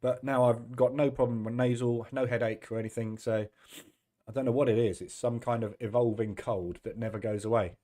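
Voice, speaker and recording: Hoarse throaty guy with a cold, chatty, lots of ums, talking into a desk mic. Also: fairly even intonation, fairly quick, dark tone. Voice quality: deep, throaty. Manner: chatty, greets viewers by name, many fillers. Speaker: trading stream host. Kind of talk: casual live-stream chat.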